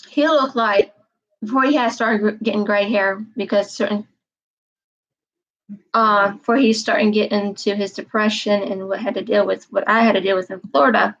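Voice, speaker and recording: A woman's voice: mid-range voice, lo-fi sound. A woman in her twenties chatting casually on a live stream, wandering and repeating herself. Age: 20s. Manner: meandering, repeats herself, frequent "um". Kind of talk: rambling casual live chat.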